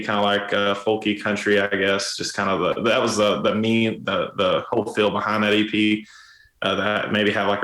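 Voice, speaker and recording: A musician guest in casual podcast chat, a raspy-voiced man who talks in a relaxed, rambling way with lots of fillers. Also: lowish voice. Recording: roomy echo.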